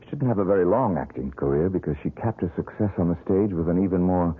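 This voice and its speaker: An actor playing a poor, bitter painter haunted by one recurring nightmare, talking in a brooding, self-mocking way in a radio drama. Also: male voice, low voice, fast talker, husky. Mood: recounting. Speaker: struggling painter. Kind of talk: 1970s radio drama acting. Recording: tinny old radio broadcast, faint music.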